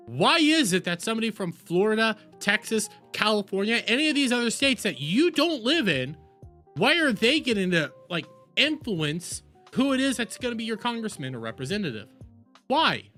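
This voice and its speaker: A man giving a casual, exasperated political rant as a streamer, halting and repeating words, sometimes swearing. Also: high-pitched, pushed and bright. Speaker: streamer, host.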